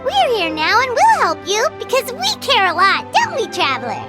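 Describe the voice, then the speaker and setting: A woman voicing a small, chatty non-human companion creature who talks bluntly and excitably in a video game. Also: extremely high pitch, moderate pace, loud music behind the voice, exaggerated voice.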